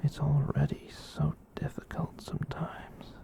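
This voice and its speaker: A performer voicing a gentle, kind giant catboy in a soft breathy whisper, rambling reassuringly in an ASMR roleplay. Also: medium pitch, level tone. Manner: rambling, reassuring, slow with long pauses.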